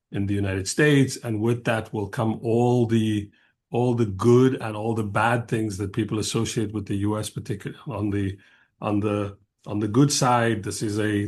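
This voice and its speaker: South African-born man in his fifties, lowish voice. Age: 50s. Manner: thoughtful, digressive, reflective. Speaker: guest speaker, professor. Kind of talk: academic panel talk.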